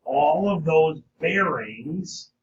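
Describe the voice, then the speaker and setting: Hoarse male teacher in a roomy classroom. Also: on the high side, lively intonation, very slow, a little hiss or hum. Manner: frequent "okay?" check-ins with students. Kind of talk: classroom lecture. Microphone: camera at a distance. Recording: roomy, distant, dull classroom sound.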